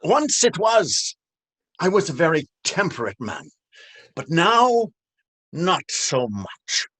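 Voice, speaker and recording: An actor playing a cruel, taunting police officer in a stage drama, with a mocking, menacing drawl. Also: male voice, fairly high pitch, big pitch swings, takes it slowly, bright, forceful tone, exaggerated voice. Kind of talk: stage readers theater drama.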